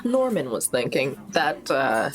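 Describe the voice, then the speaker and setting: A woman's voice: very deep voice, big pitch swings, a little slow, music in the background. A woman chatting casually as a player in a tabletop roleplay show, in a thoughtful, slightly hesitant way. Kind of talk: casual chat.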